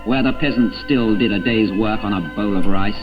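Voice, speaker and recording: Dull vintage male newsreel-style narrator. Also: on the low side. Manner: grave, emphatic, dramatic pauses. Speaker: documentary narrator. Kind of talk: 1940s propaganda film narration, scripted. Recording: dull 1940s film soundtrack. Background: music.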